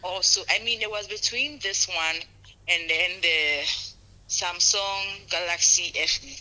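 A woman caller with a very bright, sharp-edged voice set a little low for a woman. She chats informally. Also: measured pace, rough recording. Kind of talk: casual chat. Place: on the other end of a phone call.

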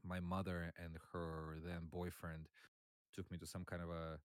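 A man who grew up in Russia, a guest chatting casually on a podcast about old games, talking with many pauses and fillers. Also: low voice, level tone, clear, resonant tone, dry recording, studio quality.

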